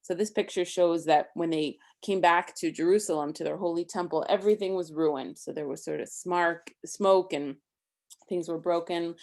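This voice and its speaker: Lower-voiced woman reading a children's story aloud. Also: normal speed. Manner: expressive, explaining simply to a child. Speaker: storybook reader. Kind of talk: read-aloud of rhyming children's story with casual explanatory asides.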